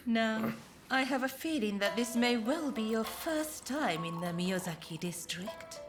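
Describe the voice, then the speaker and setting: An alluring geisha in a video game. Her voice is fairly high and very expressive, with polite, formal phrasing. Soft music sits behind her. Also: a little slow. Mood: polite, attentive. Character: gracious, poised, alluring geisha woman. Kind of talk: video game cutscene acting.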